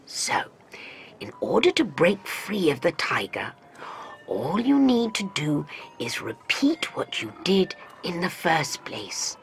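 A calm, commanding doctor, voiced by a woman reading the story, speaking low and unhurried in a gravelly near-whisper. His pitch rises and falls widely with wry emphasis while he keeps a quiet authority, in a storybook telling. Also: faint music behind, heavily acted. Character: calm, commanding male doctor, dry-witted.

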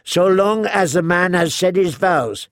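A man's voice: high voice, measured pace, no echo, studio quality, voice pushed up. A man voicing a formal knight who states the rules firmly. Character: formal, measured male knight.

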